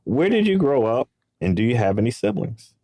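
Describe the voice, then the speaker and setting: A man's voice: mid-range voice, big pitch swings, fairly quick, warm tone, no echo. A man hosting a podcast interview, upbeat and chatty, with loose casual phrasing. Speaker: podcast host.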